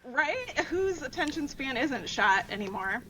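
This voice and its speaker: A woman's voice: high-pitched, very animated, a little slow, sharp tone, slight background noise, poor audio. A woman author chatting casually as a podcast guest in an agreeable, lightly wry way. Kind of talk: casual chat.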